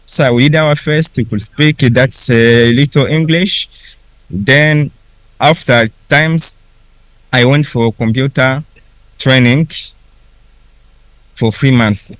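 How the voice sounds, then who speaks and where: Young man, native Kiswahili speaker, unhurried testimony in English, mid-pitched, dry recording. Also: dry recording, rough recording. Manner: unhurried, with frequent restarts and pauses. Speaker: refugee speaker at a conference. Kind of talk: personal story told slowly.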